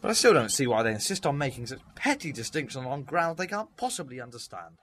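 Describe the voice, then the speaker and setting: A man's voice: medium pitch, sing-song, fast talker, fairly bright tone, faint music behind. A man playing a blustering eccentric Doctor. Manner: theatrical, indignant, bluffing. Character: eccentric, blustering time-traveling male Doctor. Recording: noisy amateur recording.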